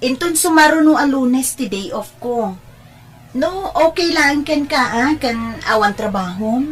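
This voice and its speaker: A woman's voice: sits high, animated, measured pace, pitched above the performer's own voice. A woman with an Ilocano accent playing a chatty, friendly younger sweetheart in a family audio drama. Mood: friendly, eager. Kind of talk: Ilocano radio-style drama acting.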